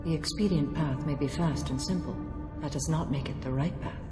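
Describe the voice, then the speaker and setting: A woman playing a stern, solemn alien warrior-monk in a video game, speaking formally and with measured resolve. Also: sits low, close to an everyday voice. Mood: stern, calm. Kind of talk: video game character acting. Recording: long echoing hall, loud music behind.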